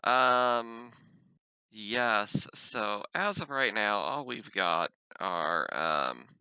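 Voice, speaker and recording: A man's voice: on the low side, animated, extremely slow, sharp tone, clean tone, no room sound, low-quality recording. A man chatting casually as a player in a tabletop game, matter-of-fact and ready to bargain.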